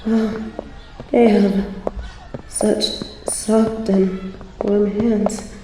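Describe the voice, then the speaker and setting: A woman's voice: medium pitch, level tone, very slow, dark tone, slight background noise, low-quality recording. A woman voicing a snobby but secretly sweet young angel who stammers and gets flustered, in a romantic audio roleplay. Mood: shy wonder. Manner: stammering, flustered, haughty when embarrassed.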